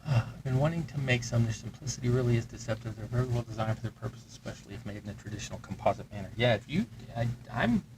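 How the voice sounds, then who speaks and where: A man's voice: mid-range voice, expressive, quick pace, few pauses, noisy background. A man hosting a terrain-crafting channel, chatting casually and muttering to himself as he builds. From Texas. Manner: rambling, mutters to himself while working. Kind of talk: casual chat while building miniatures.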